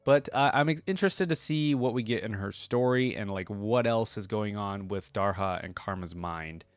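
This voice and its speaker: Male reaction host, lowish voice, conversational and thinking out loud, recorded close and dry. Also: moderate pace, smooth, no echo. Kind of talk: casual reaction commentary with story read aloud.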